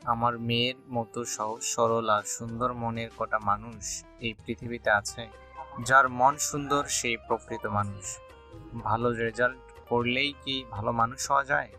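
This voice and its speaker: A man playing a gentle, protective middle-aged father in an audio love story, speaking tenderly and reassuringly. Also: medium pitch, steady tone, unhurried, soft background music.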